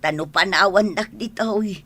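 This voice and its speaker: A woman's voice: medium pitch, normal speed, bright, forceful tone. A woman playing an older member of a household in a Filipino radio drama. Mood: worried.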